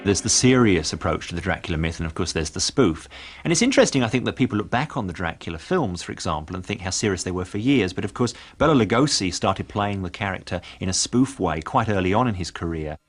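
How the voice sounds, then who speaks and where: Brief: interviewed male commentator, deep and fast, reflective. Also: lively intonation. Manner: thoughtful, hedging with "I think".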